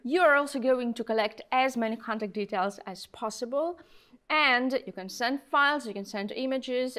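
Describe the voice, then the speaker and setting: A young woman with a fairly high voice presents a product review. She talks in a chatty, conversational way, stressing her points with enthusiasm. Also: a bit bright. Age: young adult. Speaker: presenter of software review videos. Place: small indoor studio room at a desk. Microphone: lapel mic.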